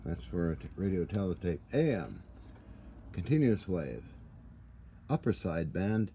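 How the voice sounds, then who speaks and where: A man with a low, mellow voice shows equipment as a restorer would, casually and off the cuff. He talks haltingly, muttering folksy asides. The handheld workshop recording carries some faint noise. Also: very animated. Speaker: radio restorer. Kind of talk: casual hands-on equipment walkthrough. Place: indoor cluttered workshop. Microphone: handheld camera or phone, behind the lens. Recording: noisy handheld recording with room ambience.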